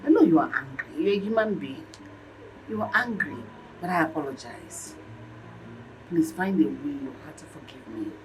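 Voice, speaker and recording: Casting: forceful, declamatory mother for family melodrama, very animated pitch, noticeable background noise. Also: normal speed, long pauses, low-quality recording. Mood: contrite, pleading. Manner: emphatic, repetitive, declamatory. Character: domineering mother and stepmother, fierce then ingratiatingly apologetic. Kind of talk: melodramatic film acting.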